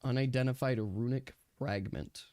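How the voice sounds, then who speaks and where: A man working as game master, narrating a tabletop game casually and thinking aloud in a halting way. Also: medium pitch, mellow, no echo.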